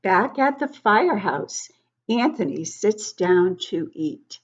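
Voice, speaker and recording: A woman reading a picture book aloud to young children, expressively, pausing to explain things and ask the listeners questions. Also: mid-range voice, big pitch swings, muffled recording. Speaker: children's book club host and reader.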